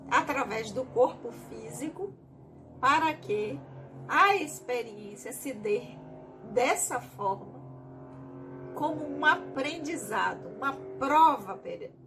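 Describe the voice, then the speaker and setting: Higher-voiced Bahian woman leading study. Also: a bit bright. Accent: Bahian Brazilian Portuguese. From Bahia, Brazil. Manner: reads a question aloud, then explains it at length in her own words. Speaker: study group leader. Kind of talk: teaching a spiritist study group.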